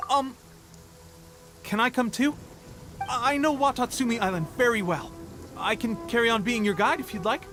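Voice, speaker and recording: An actor playing an eager, loyal young army officer and guide, earnest and boyish, in a video game. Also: male voice, very high voice, normal speed, long pauses, sharp tone, faint music behind. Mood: hesitant, hopeful.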